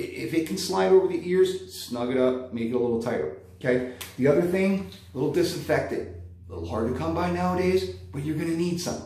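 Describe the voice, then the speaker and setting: A man's voice: fairly high pitch, warm tone. Practical man giving dog tips, echoey room. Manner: practical, tags tips with "okay?". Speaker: dog trainer. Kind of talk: instructional vlog. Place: indoor room by a window.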